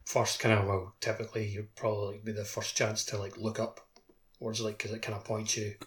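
Low, flat-toned man, halting delivery. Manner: halting, hedging with many likes. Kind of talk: casual video game chat.

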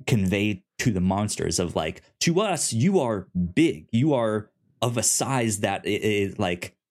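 A man's voice: lowish voice, a little mellow. A man co-hosting a podcast in casual chat, rambling with frequent restarts and stammers. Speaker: podcast co-host.

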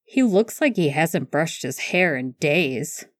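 A woman's voice: low-pitched, expressive, slow, dry recording, full character voice. A woman voicing an anxious, self-deprecating man with a dry, grumbling manner in an audiobook. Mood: wry reluctance. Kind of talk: audiobook fan fiction character dialogue.

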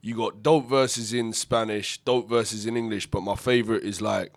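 A man in a casual radio chat puts questions to a guest in an easygoing, slangy way. His voice sits on the low side, and he sounds unhurried. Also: fairly even intonation. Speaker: co-host interviewer.

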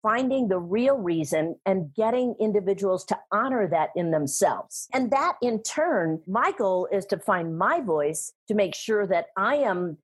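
Husky, animated woman speaker. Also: mid-range voice, measured pace, few pauses. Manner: animated, emphatic, laughs often. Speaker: guest, sales trainer and speaker. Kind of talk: conversational interview.

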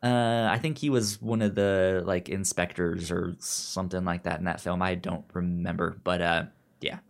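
A man with a lowish voice co-hosts a podcast in a casual, conversational way. He speaks haltingly, often doubling up on words and restarting phrases as he gives his recommendations. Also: fairly even intonation, vocal fry. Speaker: podcast co-host.

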